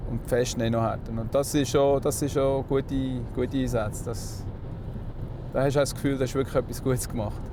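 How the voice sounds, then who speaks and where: A man with a Swiss German accent and a middle-pitched voice talks as a motorway police officer. He explains his job casually from inside a car, with clear road noise behind him.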